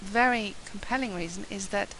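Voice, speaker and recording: A woman with a husky voice from a flu talks in a chatty, upbeat way, restarting a phrase now and then. Her voice sits at an ordinary middle pitch. A faint hiss runs under the recording. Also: normal speed, a bit bright, low-quality recording. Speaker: marketing presenter. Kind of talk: solo talk to camera.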